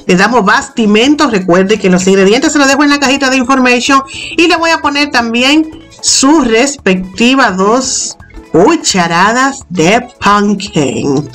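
A middle-aged woman hosting a home cooking show, talking playfully through a recipe and joking with her viewers. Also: fairly high pitch. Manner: playful, jokey, affectionate nicknames for viewers. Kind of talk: playful recipe voice-over and tasting. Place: home kitchen.

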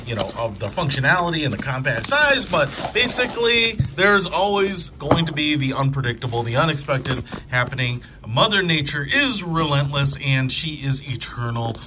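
A man's voice: on the high side, sing-song, moderate pace, hardly any pauses, noisy background. A man giving a casual hands-on product review in an informal, enthusiastic, conversational way.

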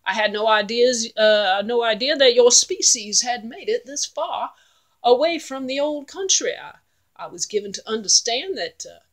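A learned billy goat, voiced by a woman storyteller, speaking in formal, long-winded, pompous phrases. His voice sits a little high and lively, with hesitant restarts. A storybook figure full of fussy courtesy. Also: normal speed. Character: pompous, learned middle-sized male goat.

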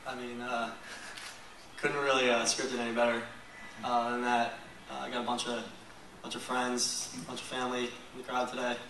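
Young male athlete, monotone and modest; far from mic in a roomy hall, faint ambience. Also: mid-range voice, noisy background. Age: young adult. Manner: modest, halting. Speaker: college lacrosse player. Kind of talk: postgame press conference answers. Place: press conference room.

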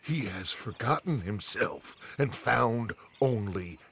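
Low, slow-talking, grandiose outlaw gang leader. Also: male voice, lively intonation, fairly bright tone, slight background noise, heavily acted. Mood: sardonic, theatrical. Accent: American. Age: middle-aged. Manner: grandiloquent, oratorical, deliberate. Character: charismatic middle-aged male outlaw gang leader, grandiose. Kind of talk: dramatic western game acting.

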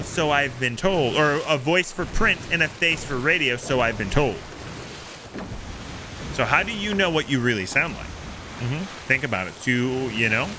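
Animated man streaming with a playful, high-pitched delivery. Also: noisy background. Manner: animated, playful, theatrical storytelling. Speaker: game streamer and host. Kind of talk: casual live-stream chat while gaming. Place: small home streaming room. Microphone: close streaming microphone.

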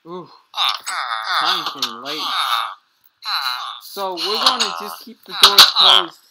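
A man's voice: sits high, sing-song, takes it slowly. A man improvising in-character narration for a game roleplay, talking to himself in explanatory fragments. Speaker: player in a Minecraft roleplay series. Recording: clearly noisy amateur game recording with clicks.

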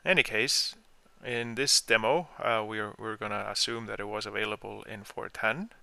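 A man explaining software step by step in an informal screen-share tutorial, as the trainer. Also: lowish voice, steady tone, moderate pace, gravelly.

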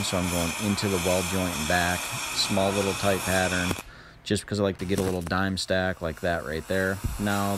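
A man's voice: low voice, background noise. A man narrating a step-by-step tutorial in a casual, plain-spoken way. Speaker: instructional narrator.

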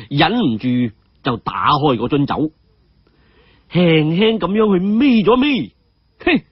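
High, lively male Cantonese storyteller. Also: husky, no echo, lo-fi sound. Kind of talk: animated wuxia audio storytelling.

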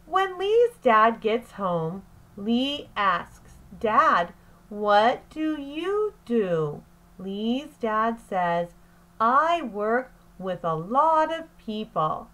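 A woman teacher reading aloud to young children in a deliberate, phrase-by-phrase way, as in an expressive picture-book lesson. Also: fairly high pitch.

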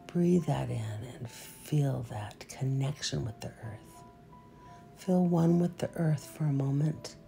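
A low-pitched woman with heavy vocal fry guides a meditation. She is soft and soothing, trailing into breath as phrases end, and takes her time with gentle, unhurried delivery. Meditation music plays behind her.

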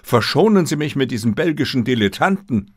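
A man's voice: mid-range voice, expressive, brisk, husky, dry recording, studio quality, voice pushed up. A man voicing a pedantic, impatient detective in an audiobook, with a precise, dismissive manner. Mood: irritated, dismissive. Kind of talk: audiobook character reading.

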